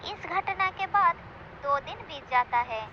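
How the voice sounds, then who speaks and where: A woman's voice: very high voice, expressive, a little slow. A woman narrator reading a scripted animal story for children. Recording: dull lo-fi sound with clear noise.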